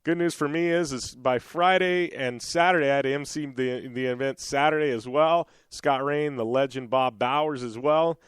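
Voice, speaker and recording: A man hosting a radio show in a casual, rambling way, full of "you know" and restarts. His voice is a little raspy from a cold, yet it still comes through smooth and resonant. The sound is close and dry, with no room behind it. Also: medium pitch, normal speed, hardly any pauses, no echo. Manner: rambling, many fillers and restarts. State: slightly raspy from losing his voice.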